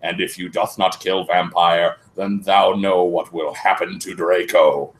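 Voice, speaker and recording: Booming, pompous villain lord with fake olde speech, put on by a man, slow and theatrical. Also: level tone. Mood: menacing. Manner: pompous, mock-archaic, booming. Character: archaic-talking male dark-lord villain.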